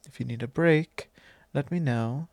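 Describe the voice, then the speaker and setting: A man voicing a doting, possessive vampire lord in an ASMR roleplay, with soft, genteel phrasing that slips into breathy whispers, close on the mic. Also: medium pitch, dry recording. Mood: attentive, protective. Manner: soft, drawn-out, genteel old-fashioned phrasing. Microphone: close microphone.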